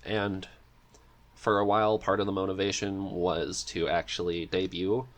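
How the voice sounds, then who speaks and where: A man with a low-pitched voice talks in a casual podcast conversation. He comes across as chatty and eager, like a guest happy to share. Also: flat delivery, normal speed.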